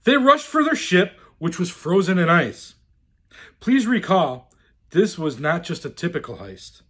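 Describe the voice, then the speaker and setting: A man reading a rhyming children's storybook aloud in a theatrical, deliberate, expressive way. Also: sits high. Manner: theatrical, deliberate, swooping delivery. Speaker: storyteller in the role of Santa.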